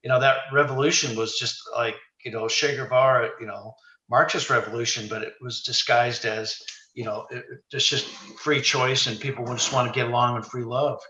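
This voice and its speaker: A man's voice: mid-range voice, steady tone, moderate pace, pushed and bright, roomy sound, poor audio. A man in his sixties chatting casually, opinionated and talking in long runs. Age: 60s. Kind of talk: casual chat.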